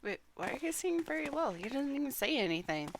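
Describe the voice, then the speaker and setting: A woman's voice: high voice, very animated, fairly quick. A woman streaming a game and giving casual, offhand reactions as she plays. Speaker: live streamer. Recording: clearly noisy background.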